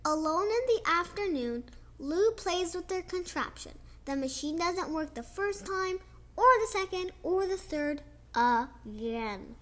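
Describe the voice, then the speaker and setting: A young girl reading a children's picture book aloud, slowly and expressively, with chatty asides about the pictures. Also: very high-pitched, expressive, a bit bright. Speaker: child storyteller.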